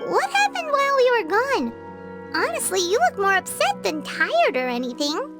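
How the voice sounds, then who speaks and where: A tiny, childlike girl companion for a cartoonish game. The voice is squeaky and extremely high, with lively swings and a chirpy, fussing concern. Music is clearly heard behind it. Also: moderate pace, full character voice. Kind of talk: cartoonish video game voice acting.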